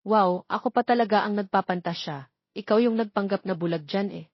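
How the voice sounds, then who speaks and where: Narrator doing a sassy young filipino woman in a love story, husky, huffy, slightly muffled. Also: medium pitch, fairly quick, no room sound. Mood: indignant. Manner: sassy, indignant, questioning. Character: feisty, easily smitten young woman playing hard to get.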